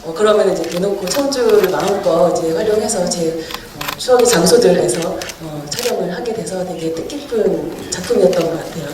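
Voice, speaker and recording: A woman's voice: on the low side, brisk, hardly any pauses. Woman director in an echoey cinema hall. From Cheongju, South Korea. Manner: thoughtful, laughs while explaining. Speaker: film director. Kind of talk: festival Q&A answers. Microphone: handheld mic heard from far in the hall. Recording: echoey hall audience recording, distant and noisy.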